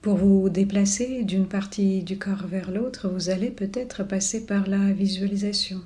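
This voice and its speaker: A woman leading a guided body scan meditation in a soothing, unhurried manner with long pauses. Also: mid-range voice, fairly even intonation, dark tone. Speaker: yoga and relaxation guide.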